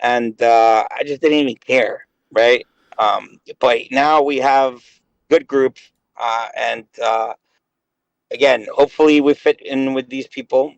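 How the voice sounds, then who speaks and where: A man's voice: mid-range voice, steady tone, a little slow, gravelly, dry recording, muffled recording. A man in his late thirties chatting casually on a voice call, rambling and hesitant with many fillers. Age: 30s. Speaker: gaming guest. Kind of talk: casual chat.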